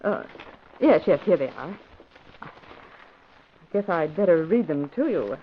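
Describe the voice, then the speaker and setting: Dry wisecracking secretary, mid-pitched, animated intonation, radio play, dull noisy vintage recording. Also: female voice, unhurried. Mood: wry, reading. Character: wry, capable female newspaper secretary. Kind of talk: old-time radio drama acting.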